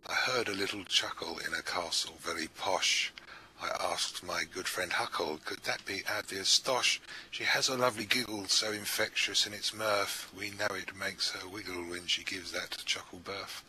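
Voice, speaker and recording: A man's voice: low voice, bright, forceful tone, a little hiss or hum, low-quality recording. A man reading poems aloud to listeners as a recital. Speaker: poetry reader at a live event. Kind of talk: poetry recital.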